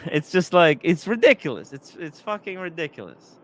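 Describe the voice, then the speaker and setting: A young man hosting a live stream, rambling and animated, reading chat comments aloud. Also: fairly high pitch, normal speed.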